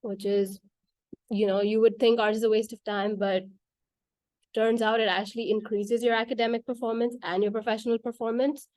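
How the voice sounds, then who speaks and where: Woman, Urdu native speaker, mellow tone, dry recording, earnest casual talk. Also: a little high, little pitch movement, moderate pace, dry recording. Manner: earnest, with frequent "like" and "right?" fillers.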